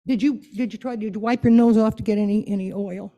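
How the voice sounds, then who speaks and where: A woman's voice: mid-range voice, fairly even intonation, fast, dark tone, highs cut off. A woman giving an informal, playful and conversational talk to a group, coaxing them to join in. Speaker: guest speaker leading an interactive church talk.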